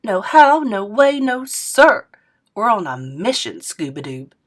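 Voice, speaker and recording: A woman's voice: mid-range voice, big pitch swings, slow. A woman doing a sassy old grandma. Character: spunky elderly grandmother, playful and scattered.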